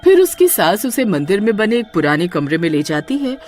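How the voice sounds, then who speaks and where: A woman telling a spooky cartoon tale with suspense. Her pitch moves a great deal, and faint music plays underneath. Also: sing-song, moderate pace, a little mellow. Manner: dramatic, suspenseful storytelling. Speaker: narrator. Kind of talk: scripted storytelling narration.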